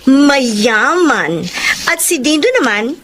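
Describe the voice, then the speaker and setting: A woman's voice: high voice, sing-song, slow pace, a bit bright, slight background noise, heavily acted. A woman playing a lovestruck, teasing young best friend in a Filipino radio drama.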